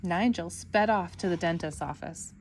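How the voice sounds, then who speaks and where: A woman storyteller reading a children's book aloud in an expressive narrating style for kids. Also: on the low side, moderate pace, a little hiss or hum.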